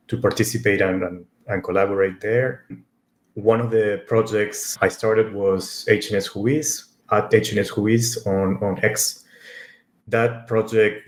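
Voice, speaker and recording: A man from Argentina, a native Spanish speaker, giving an informal tech talk in a conversational, halting manner with repeated words. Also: lowish voice. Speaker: conference speaker. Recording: echoey, roomy sound.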